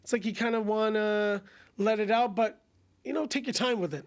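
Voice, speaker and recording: High-voiced raspy young man, casual. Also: normal speed, no echo. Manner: casual, animated, says bro and man a lot. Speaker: singer and songwriter guest. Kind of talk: casual chat.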